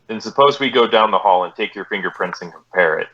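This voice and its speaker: A man playing a low-voiced, stoic police detective. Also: fast, husky, highs cut off, heavily acted. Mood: stoic, firm. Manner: deadpan, clipped, matter-of-fact. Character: stoic, polite, by-the-book male police detective. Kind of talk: radio police drama acting with narration.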